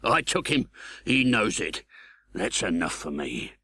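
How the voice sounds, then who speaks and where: Audiobook role: hardened English convict acted by a male reader, scornful, uneducated, low-pitched, brooding. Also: sing-song, sharp tone, husky, no room sound, clean studio sound, heavily acted. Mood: defiant. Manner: slow, grudging, uneducated speech.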